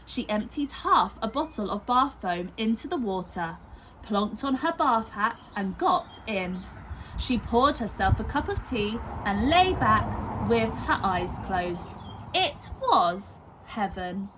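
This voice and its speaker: A woman narrating a children's story expressively, with deliberate emphasis aimed at young listeners. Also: mid-range voice, animated, background noise.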